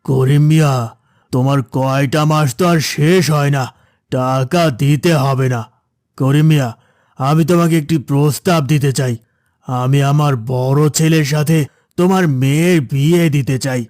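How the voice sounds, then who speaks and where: Casting: man as pushy cartoon village boss, higher-than-average male voice, domineering and wheedling, recorded dry. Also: fairly even intonation, moderate pace, darkish tone, no echo, lightly acted. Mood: pressing, smug. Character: pushy, scheming village headman demanding his money. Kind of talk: Bengali cartoon voice acting.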